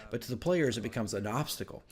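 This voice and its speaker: A man telling the story of his tabletop game session as dungeon master, in a casual, animated, rambling vlog style. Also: medium pitch, quick pace. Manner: animated, rambling asides with acted-out exclamations.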